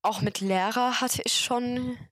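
A woman in her late teens from England, with English as her first language and a Hessian accent in her German, speaking as a relaxed, direct interview guest. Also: fairly high pitch, unhurried, dry recording. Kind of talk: casual chat.

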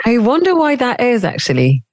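A woman's voice: fairly high pitch, huge pitch swings, moderate pace, darkish tone, no room sound, studio quality. A woman hosting a casual interview, friendly and laughing along. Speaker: host.

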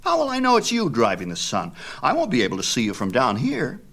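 A man's voice: mid-range voice, wildly swooping pitch, a little fast, a bit bright, voice pushed up, heavily acted. A man voicing a boastful, taunting young boy, cocky and scornful, in a mythology storybook. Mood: scornful, doubting.

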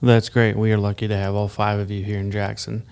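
A man's voice: lowish voice, level tone, a little fast, gravelly, a little mellow, clean tone. A man hosting a studio health podcast, asking a guest friendly, upbeat questions. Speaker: podcast host. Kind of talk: studio interview.

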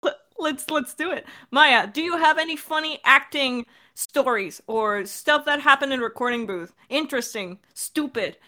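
A woman's voice: sits high, normal speed, hardly any pauses, pushed and bright. A woman hosting a podcast question-and-answer session in a casual, chatty style. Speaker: podcast host, director. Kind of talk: casual chat Q&A.

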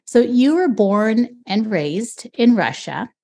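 A woman's voice: on the high side, very animated, slow pace, dark tone. A woman hosting an interview podcast, introducing and questioning her guest. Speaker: podcast host.

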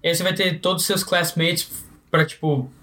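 A man's voice: fairly high pitch, fairly even intonation, a little fast, bright, forceful tone. A man co-hosting a casual interview, making playful jokes and asking questions. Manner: playful, joking asides. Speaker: co-host.

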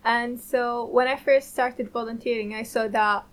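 A young woman from the Middle East, a second-year student, speaking earnestly with halting restarts. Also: a little high, steady tone. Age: young adult. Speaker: student guest. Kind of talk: casual interview answers. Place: radio studio.

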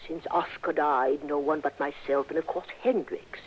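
An actress playing a genteel older widow in a 1960s radio drama, sweet, trusting and easily flustered, with a hesitant manner. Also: female voice, deep voice, steady tone, normal speed, slight background noise, natural voice. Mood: sweetly confiding. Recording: dull, lo-fi vintage radio recording.

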